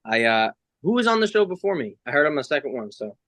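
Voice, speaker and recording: A man's voice: on the high side, big pitch swings, fast talker, a bit bright, dry recording. Young man chatting informally, dry sound. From United States. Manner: informal, slangy. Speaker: racing driver, interview guest. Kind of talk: casual interview chat.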